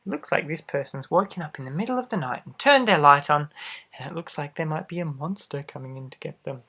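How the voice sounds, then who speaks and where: A man reads a picture book aloud to young children in a gentle, expressive way, adding little asides straight to the listener. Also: a little high, a little fast, breathy edge. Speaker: storybook reader for children.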